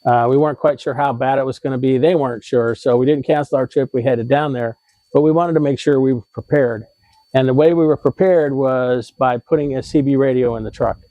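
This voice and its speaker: A man hosting a how-to vlog, explaining things in a casual, conversational and slightly halting way. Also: mid-range voice, steady tone, dry recording, highs cut off. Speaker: vlog host giving RV tips.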